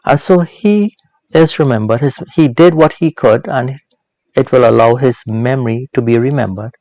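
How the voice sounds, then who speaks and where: A man with a creaky, gravelly voice of middling pitch teaches in an informal sermon style. He speaks deliberately and leans on repetition for emphasis. The sound is dry and close, with very little room. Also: a little mellow, no echo, poor audio. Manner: deliberate, emphatic, with repeated phrases and rhetorical questions. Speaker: host of a Bible proverbs teaching series.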